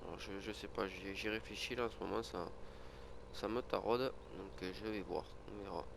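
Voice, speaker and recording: A man's voice: lowish voice, fairly even intonation, moderate pace, gravelly, background noise. A man with a cold talking casually over his farming game, rambling with many fillers and restarts. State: has a cold, sniffling. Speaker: gaming video creator. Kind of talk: casual gameplay commentary.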